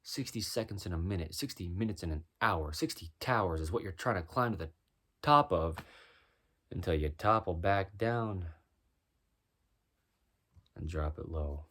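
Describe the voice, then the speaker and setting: A man with a deep voice doing a rhyming spoken-word freestyle, musing and preaching as he goes. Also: normal speed, pauses often, fairly bright tone. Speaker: freestyle musician.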